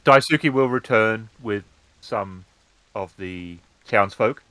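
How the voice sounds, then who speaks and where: A man narrating a tabletop roleplaying game as game master, in a halting, measured way. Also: fairly low pitch, a bit bright, no echo, low-quality recording.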